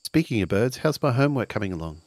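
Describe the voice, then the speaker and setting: A man's voice: medium pitch, sing-song, quick pace, darkish tone, no echo. A man playing a cheeky slacker schoolboy who casually teases his friends in an improvised tabletop game. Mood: teasing. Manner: teasing, casual.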